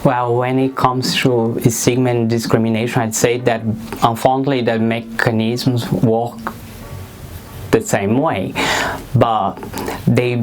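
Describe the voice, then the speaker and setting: Slow, measured man. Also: mid-range voice. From Brazil. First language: Portuguese. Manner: measured, pauses with frequent ums. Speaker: guest, HIV activist. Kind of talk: thoughtful interview answers.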